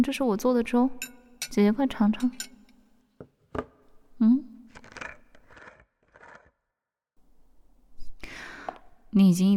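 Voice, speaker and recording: A young woman voicing an obsessive, possessive young woman in a whispered audio drama, pleading and coaxing her beloved older sister in a soft, whispery voice. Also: mid-range voice, close to an everyday voice. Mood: tender, coaxing. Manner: pleading, clingy, repeatedly coaxing with questions.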